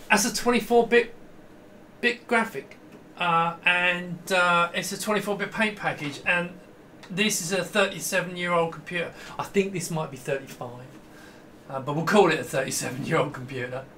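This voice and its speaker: High-pitched, bright-voiced middle-aged man. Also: normal speed, slight background noise. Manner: enthusiastic, rambling, often breaking off mid-sentence. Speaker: host demonstrating a vintage computer. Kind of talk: casual hands-on demo talk to camera. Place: small indoor home room with computer gear.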